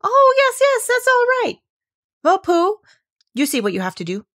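A scheming storybook rabbit voiced by a woman takes charge in a high, forceful pitch. He is bossy and brisk, and he instructs with great emphasis. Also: very animated, exaggerated voice.

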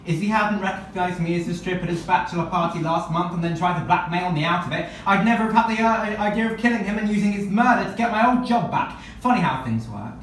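A woman's voice: lowish voice, fast, few pauses, lightly acted. A young woman playing a smug, scheming police officer, gloating formally as she reads a murder mystery script. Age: young adult. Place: small room at a party. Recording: echoey room, distant camera, background noise.

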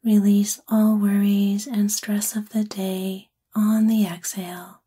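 A woman with a soft, breathy, whispery voice gently and soothingly guides a sleep meditation in an ASMR-like style. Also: mid-range voice, little pitch movement. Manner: gentle, soothing, unhurried phrasing.

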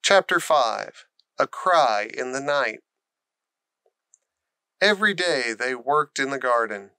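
A man's voice: mid-range voice, animated, slow pace, sharp tone, smooth, dry recording, clean studio sound. A man reading a children's story aloud as scripted narration. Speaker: storybook reader.

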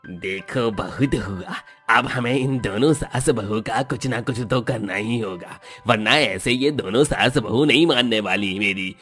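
A man's voice: lowish voice, a little slow, fairly bright tone, soft background music. A man voicing a smug, scornful shopkeeper ringleader in a village cartoon story, speaking emphatically. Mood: plotting. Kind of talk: Hindi cartoon story acting.

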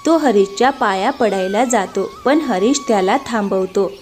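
A woman's voice: medium pitch, moderate pace, darkish tone. A woman reading scripted storytelling narration as the narrator of a moral tale. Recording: music behind the voice.

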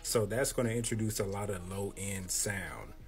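A man in his thirties with a deep, boxy voice, talking close to a handheld mic as he casually walks through his audio setup in a small home room. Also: normal speed, soft background music. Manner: laid-back, slangy, talks close to the mic. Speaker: content creator explaining his audio setup. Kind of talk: casual tutorial. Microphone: handheld close-up microphone.

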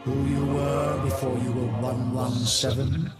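An actor playing an ancient, menacing mechanical guardian who talks slowly and grandly, taunting his foe in a video game. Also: medium pitch, level tone, over loud music, lightly acted.

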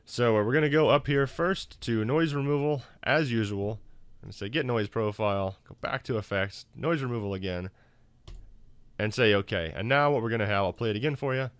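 A man hosting a casual software tutorial in an informal, self-deprecating, hesitant way. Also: mid-range voice, a little fast, clear, resonant tone, no echo. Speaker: tutorial host.

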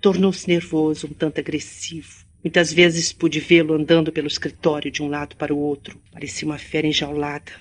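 A woman's voice: sits low, little pitch movement, a little fast, hardly any pauses. An actress playing a composed, kind young city woman in a Brazilian radio drama, with formal, careful diction. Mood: reflective, earnest. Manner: formal, carefully enunciated speech.

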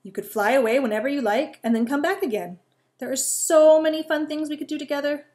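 A woman's voice: high-pitched, a little fast, pitched above the performer's own voice, lightly acted. A woman voicing an eager, cheerful young male squirrel in a storybook reading. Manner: excited and pleading.

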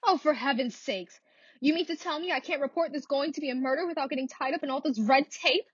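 A woman's voice: high-pitched, fast, no room sound, close to an everyday voice. A woman playing a nervous, imperious married invalid in a radio thriller, insistent and easily exasperated. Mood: indignant. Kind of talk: classic radio thriller acting. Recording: dull, lo-fi dry audio.

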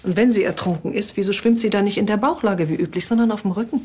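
A woman's voice: mid-range voice, quick pace, darkish tone, soft background music, lightly acted. A woman playing a sceptical, probing police superior in a TV crime comedy, questioning methodically. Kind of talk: scripted TV comedy crime drama acting.

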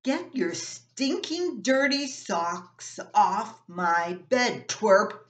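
A woman's voice: medium pitch, expressive, very slow, a bit bright, roomy sound. An older woman voicing an angry, bossy teenage older brother in a family story, scolding and bellowing.

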